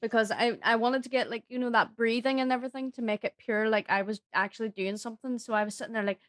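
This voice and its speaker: A woman's voice: on the high side, fast talker, fairly bright tone, no echo. A woman telling anecdotes in an enthusiastic, informal way as a podcast guest. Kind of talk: casual chat, anecdotes.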